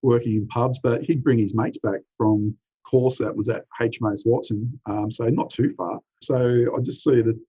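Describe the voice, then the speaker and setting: A man in his forties with an Australian accent, an easygoing veteran telling his story in a relaxed, chatty way. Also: medium pitch, moderate pace, few pauses, breathy edge. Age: 40s. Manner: easygoing, uses "mate", frequent "um" and "you know". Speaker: military veteran guest. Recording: dry, slightly dull lo-fi recording.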